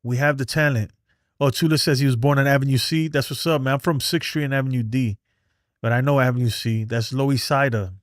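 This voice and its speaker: A man's voice: medium pitch, steady tone, quick pace, no room sound, studio quality. A man from New City, hosting a casual livestream and bluntly reading and answering chat. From New York City. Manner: streetwise, blunt, reads chat aloud. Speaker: livestream host. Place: home in Texas.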